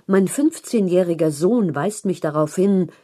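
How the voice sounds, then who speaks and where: A woman narrating a written news feature in a measured, articulate read-aloud style. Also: lowish voice, expressive, warm tone. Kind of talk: scripted narration of long-form journalism.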